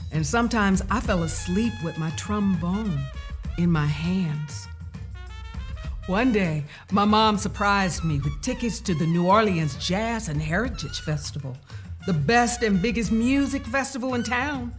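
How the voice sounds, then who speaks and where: Slow-paced woman reading a story over music. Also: mid-range voice. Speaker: storyteller reading a children's book aloud. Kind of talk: expressive scripted narration of a first-person children's story. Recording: music playing behind the voice.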